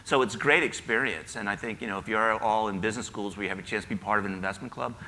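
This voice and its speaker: Man, panelist, quick talker, sharp bright tone, enthusiastic, faint room hum. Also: fairly low pitch, rough recording. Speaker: panelist, retirement editor. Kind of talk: casual panel answer. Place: conference hall.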